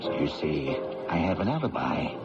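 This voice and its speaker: Gravelly, animated rogue. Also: male voice, a bass voice, normal speed, exaggerated voice. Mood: confessional. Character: roguish hard-drinking man caught posing as his brother. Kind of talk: old-time radio drama acting. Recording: dull radio broadcast with loud music.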